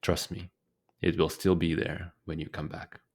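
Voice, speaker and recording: Very deep-voiced man guiding meditation. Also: breathy edge. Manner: calm, deliberate, with frequent pauses. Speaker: guided meditation teacher. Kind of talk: guided meditation narration.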